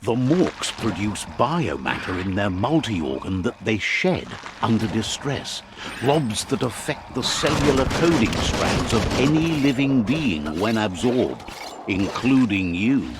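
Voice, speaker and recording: Wry solemn game narrator, really low and animated, storybook doom vibe, bit of background noise. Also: male voice. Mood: grave, warning. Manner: measured, theatrical, portentous. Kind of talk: scripted dramatic storybook narration for a video game.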